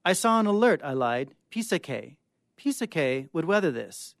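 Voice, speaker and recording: A man with a clear, smooth voice giving a dramatic reading of short stories as a guest reader. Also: a little high, very animated, moderate pace, no echo, studio quality. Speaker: guest reader of short fiction on a radio show.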